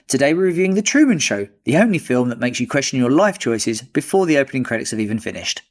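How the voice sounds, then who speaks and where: A man's voice: mid-range voice, sing-song, fast. A man hosting a podcast, trading jokey, sarcastic banter with his co-hosts. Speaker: podcast host. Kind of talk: casual podcast chat.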